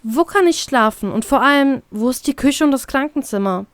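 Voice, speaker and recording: A woman's voice: high voice, lively intonation, a little fast, dry recording, voice pushed up. A woman playing a brusque young pirate woman, curt and irritable, in an audio story. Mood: annoyed. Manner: curt, annoyed, defiant.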